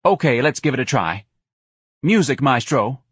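A man's voice: medium pitch, lively intonation, normal speed, slightly rough, no echo, studio quality, voice pushed up. A man voicing a hard, scheming woman who runs a bar, curt and browbeating, in an audiobook. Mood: directing.

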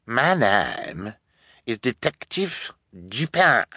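A sneering villain in an audiobook, acted by a male reader, posing as a detective. He drags out his threats with gloating mockery. The sound is dry and close, with a forceful edge. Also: mid-range voice, sing-song, extremely slow, husky, dry recording, pitched above the performer's own voice, full character voice. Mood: smug menace. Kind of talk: audiobook character voice.

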